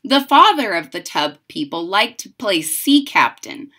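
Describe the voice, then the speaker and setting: A woman reads a picture book to children in an expressive way. Her voice is bright and moves widely in pitch. She takes her time, stressing words dramatically and adding chatty asides. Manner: deliberate, dramatic emphasis. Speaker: storyteller reading a picture book aloud. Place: room at home.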